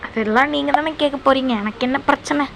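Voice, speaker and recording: A woman talks casually to her audience in a fairly high-pitched voice. She is a self-deprecating video creator, and clear noise sits behind her speech. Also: quick pace. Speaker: video creator trying out gamers' intro lines. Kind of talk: casual chat to viewers. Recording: clearly noisy, background hum.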